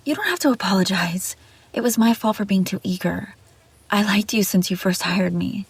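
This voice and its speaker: Breathy, obsessive babysitter played by a woman. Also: mid-range voice, normal speed, dry recording. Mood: hurt, hushed. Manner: pleading, defensive, hesitant then insistent. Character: obsessive, possessive young female babysitter, lovestruck and scheming. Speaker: audio roleplay voice actor. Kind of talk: intimate dramatic audio roleplay monologue. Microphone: close, dry microphone.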